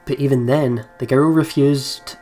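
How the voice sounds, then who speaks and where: A man's voice: medium pitch, fairly even intonation, measured pace, warm tone. A man hosting a let's play, reading game narration aloud and adding casual commentary. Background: game music.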